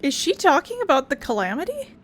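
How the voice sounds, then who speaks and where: High, lively woman gamer. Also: a little fast. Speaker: gamer commenting on a playthrough.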